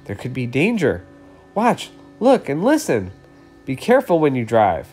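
This is a man reading as a storybook yellow traffic light, female, careful and a little weary of everyone. The warnings rise and fall in a lively way over faint music. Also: mid-range voice, unhurried, husky, heavily acted. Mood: earnest, cautioning.

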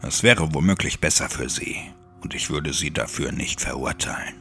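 A man acts out a weary, hollow monk in an audio horror story. His dark voice sits very low with a gravelly edge, hushed and formal as he gently warns, over music. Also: moderate pace, heavily acted. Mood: hushed, resigned. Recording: music under the voice.

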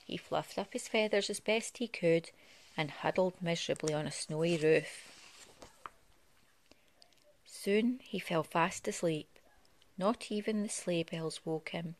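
Low-voiced woman reading a children's storybook. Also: a little slow, no echo. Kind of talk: read-aloud children's storytelling. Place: living room at home.

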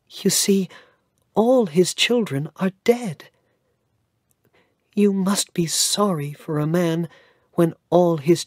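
A man voicing a sweet, loving young boy in a children's storybook reading, in a high, wondering, childlike voice. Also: no echo, studio quality.

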